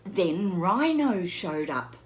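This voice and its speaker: A woman voicing an earnest male elephant who tells his long story slowly and dramatically in a storybook reading. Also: fairly low pitch, very animated, voice pushed down. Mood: emphatic.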